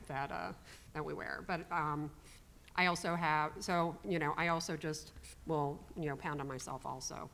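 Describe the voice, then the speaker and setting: A middle-aged woman speaking haltingly with many fillers on a conference panel, at a microphone. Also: sits low, a bit bright, a little hiss or hum. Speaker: patient panelist. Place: conference hall stage panel. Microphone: stand microphone and headset mic.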